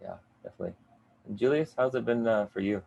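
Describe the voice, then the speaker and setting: A man's voice: medium pitch, fast talker, vocal fry, a little hiss or hum. A middle-aged man moderating an online panel from a home basement over a video call, upbeat with many "uh" fillers. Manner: upbeat, warm in addressing guests, frequent "uh" fillers.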